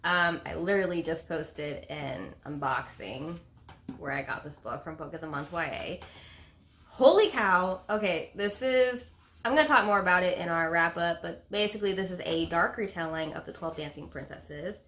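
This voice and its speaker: A woman in her thirties talking casually as a book vlogger, expressive and emphatic, often repeating words for effect, recorded at home on a camera mic. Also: lowish voice, moderate pace. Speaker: book reviewer and co-host of a book vlog. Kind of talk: casual chat about books. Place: small indoor room at home with a bookcase.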